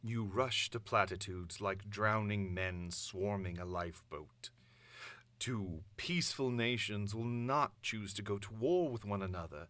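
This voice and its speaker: Man playing imperious supervillain dictator, low pitch, pompous theatrical declaiming, deliberate comic-book monologue, very dry close sound. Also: a bit bright, no room sound. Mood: stern, imperious. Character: imperious, grandiose male supervillain dictator addressing the world. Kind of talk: dramatic villain monologue.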